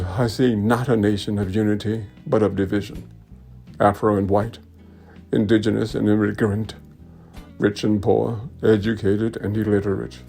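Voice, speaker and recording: Male reader for a commemorative speech: heavy fry, mellow tone, measured and deliberate delivery. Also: lowish voice. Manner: measured, deliberate, oratorical phrasing. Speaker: actor reading a speech. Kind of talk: dramatic reading of a commemorative speech.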